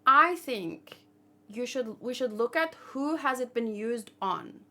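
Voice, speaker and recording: Female livestream host, on the higher side in pitch, informal discussion style, opinionated and reasoning as she goes. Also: pushed and bright. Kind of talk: casual chat, manga discussion and commentary.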